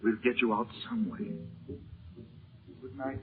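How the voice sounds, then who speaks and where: An actor playing a steady, protective adventure hero, grave and earnest in 1930s radio style. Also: male voice, medium pitch, slow, a little hiss or hum, lightly acted. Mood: grave, reassuring. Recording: tinny narrow-band 1930s radio recording.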